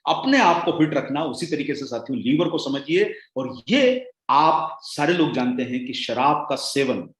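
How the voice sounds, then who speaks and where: A man delivers a rousing training talk in a somewhat high voice. His delivery swings between loud bursts and hushed asides. The sound is roomy, with a noticeable echo. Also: big pitch swings, a little slow, hardly any pauses. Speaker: sales trainer. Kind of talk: motivational product training talk.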